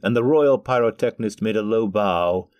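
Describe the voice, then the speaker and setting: A man's voice: on the low side, normal speed, darkish tone. A man narrating a scripted storybook tale for an audio drama.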